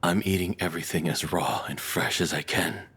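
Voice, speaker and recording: A low, breathy male voice for an obsessive, unhinged captor acted by a man in an audio roleplay. He confides softly and haltingly, tender toward his beloved yet calmly menacing. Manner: soft, confessional, hesitant, calmly menacing. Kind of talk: intimate scripted audio roleplay monologue.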